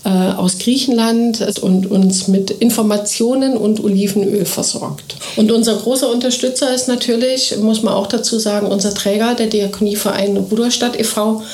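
An eager woman who heads a kindergarten talks freely in a radio interview, her voice in a middle pitch. She fills pauses with quick hesitation sounds. Her voice carries some echo from the room. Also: a little mellow. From Thuringia, Germany. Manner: eager, fluent, with frequent filler sounds. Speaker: kindergarten director. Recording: echoey room.

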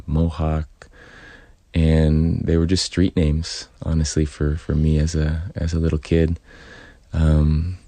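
A man's voice: extremely low pitch, dark tone, dry recording. A man from California with an American accent, chatting casually as a studio guest. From California, USA. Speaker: interview guest and informal interpreter. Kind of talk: casual chat.

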